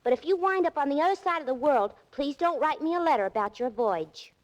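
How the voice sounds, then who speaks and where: A woman's voice: high voice, expressive, a little fast. An actress playing a naive, teasing, perky young woman in 1940s radio drama style.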